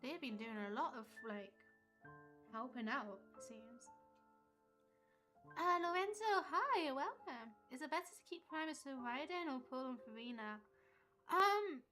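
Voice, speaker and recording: A woman with a high voice streams a video game live. She chats casually with viewers and reacts to the story, with game music clearly audible behind her. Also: animated, measured pace.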